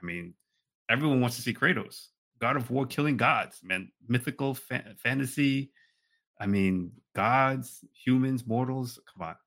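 A man's voice: mid-range voice, measured pace, a bit bright. A man co-hosting a podcast in a casual, bantering conversational style. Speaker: podcast co-host.

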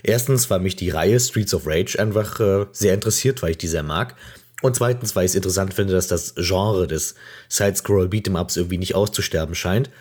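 A man hosting a gaming podcast, talking casually and playfully, his voice croaky from a cold. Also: deep voice, brisk, darkish tone. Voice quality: husky. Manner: chatty, playful, many fillers. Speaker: podcast host.

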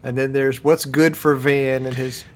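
A man's voice: medium pitch, flat delivery, measured pace. A man co-hosting a sports podcast, teasing his partner with dry humor. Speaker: podcast co-host. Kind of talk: casual sports podcast chat.

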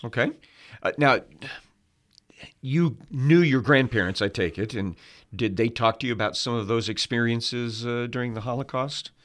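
A man's voice: medium pitch, moderate pace, a bit bright. A man hosting a Bible teaching program, welcoming listeners and interviewing a guest in a studio, with many fillers as he talks. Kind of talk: hosted interview.

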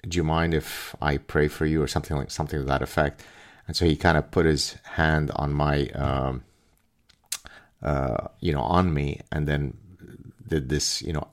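A middle-aged man speaking casually to his viewers in a reflective monologue, pausing and repeating words for emphasis. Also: rumbling low. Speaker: podcast host and author.

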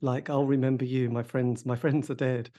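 A man's voice: medium pitch, flat delivery, moderate pace, warm tone. A man interviewing a musician, asking long rambling questions that end in tags. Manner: rambling, leading questions with tag endings.

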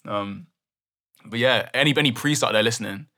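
A man's voice: medium pitch, fairly quick, bright, forceful tone. A young man hosting a casual podcast chat, pushing with probing questions and repeating words as he thinks. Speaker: podcast host.

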